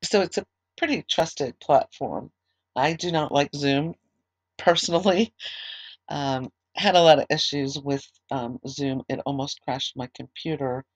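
Slightly hoarse woman leading an online service. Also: sits low, unhurried, dry recording. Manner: conversational, with fillers and restarts. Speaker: ministry leader. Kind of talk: online service announcements and prayer.

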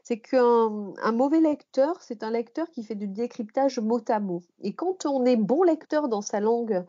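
Female podcast expert, mellow and slightly high, explanatory delivery with rephrasing, dull audio. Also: lively intonation, normal speed, slightly rough. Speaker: guest expert, language teacher and researcher. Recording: muffled, band-limited podcast audio.

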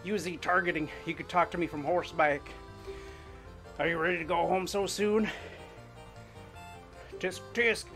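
Twangy ranch hand, gruff and taunting, pitched well above the voice of the man doing him, faint music under. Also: steady tone, a little slow, long pauses, a bit bright. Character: twangy middle-aged male ranch hand. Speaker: game character read aloud.

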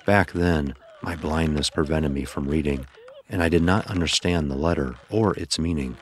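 A man narrating a dramatic first-person horror story in a grave, measured manner. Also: extremely low pitch.

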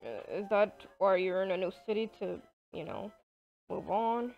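A woman's voice: medium pitch, moderate pace, creaky, lo-fi sound, soft background music, natural voice. A woman voicing an awkward, flirty boss man in an improvised game roleplay, talking hesitantly. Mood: sympathetic.

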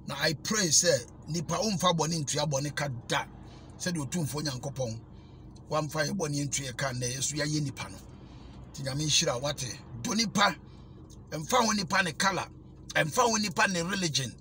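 A man's voice: on the high side, pushed and bright, a little hiss or hum. A middle-aged Ghanaian man preaching emphatically into a dashboard phone while driving. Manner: emphatic, preachy, repeats blessings. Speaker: evangelist. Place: inside a small car.

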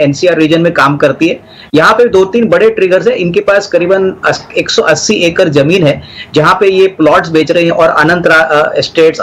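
A middle-aged man, a market analyst on a business TV channel, giving steady stock advice and mixing in English terms. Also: a little high, poor audio. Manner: steady advisory tone, Hindi mixed with English terms.

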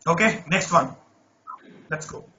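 A man's voice: on the high side, expressive, unhurried, highs cut off, heavy background noise. A man teaching an online math class, talking students through a problem and often checking "right?" with a voice he says is not normal. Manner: coaxing, repeats checks like "right?".

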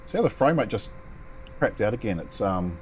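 A man's voice: lowish voice, very animated, normal speed, slight background noise. A man in his thirties with a New Zealand accent giving casual, rambling flight sim commentary full of ums and the odd swear, speaking into a headset at home.

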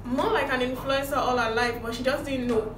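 A young woman with a Nigerian accent hosts a relaxed, conversational interview. Her voice sits a little high. She is picked up from a distance in an echoey room. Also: a little fast, background noise. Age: young adult. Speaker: YouTube host. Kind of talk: casual chat interview. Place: small room indoors, seated beside guest. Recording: echoey room, distant mic, music underneath.

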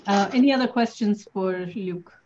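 A woman's voice: medium pitch, normal speed, darkish tone, a little hiss or hum. A woman casually moderating questions at an online session, over a dull video call.

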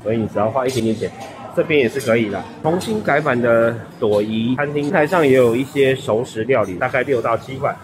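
A man with a Taiwanese Mandarin accent narrates a casual food vlog in a mid-range voice, rattling off buffet dishes with easy, chatty tags. He is recorded on a handheld camera mic, with steady restaurant noise and chatter clearly heard behind him. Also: mid-range voice, brisk. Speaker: food vlogger. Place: busy hotel buffet restaurant indoors. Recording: noisy restaurant background.

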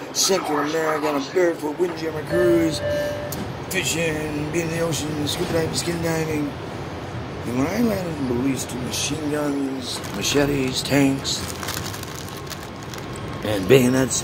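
A man in his sixties rambling boastfully into a phone held close, on a subway train, his voice swinging from mutters to loud outbursts. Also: on the high side. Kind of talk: rambling monologue to a phone camera. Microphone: phone held close at chest level. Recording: loud train noise behind a phone mic.

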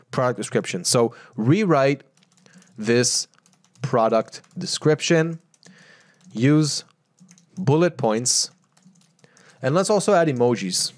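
A man in his thirties presenting a screen-share tutorial, talking straight to viewers in an energetic way and reading text aloud, seated indoors at a close mic. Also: mid-range voice, unhurried, long pauses. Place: indoor home studio, seated in a gaming chair.

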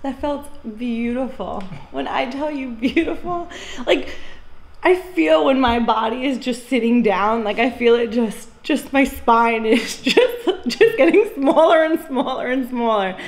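A woman's voice: high-pitched, lively intonation, unhurried. A young woman, effusive and giggly, talking casually and exclaiming as a patient during treatment. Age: young adult. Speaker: chiropractic patient. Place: indoor chiropractic treatment room. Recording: echoey room with background noise.

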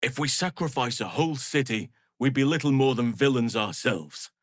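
A man voicing an earnest, solemn druid healer in a fantasy video game, speaking in measured, formal phrases. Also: mid-range voice, expressive, no echo, studio quality, lightly acted. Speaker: video game companion character. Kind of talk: scripted fantasy game voice acting.

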